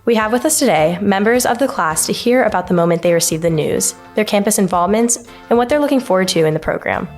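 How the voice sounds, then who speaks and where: A woman interviewer reading scripted introductions and questions in a clear, measured way. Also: mid-range voice, lively intonation, faint music behind.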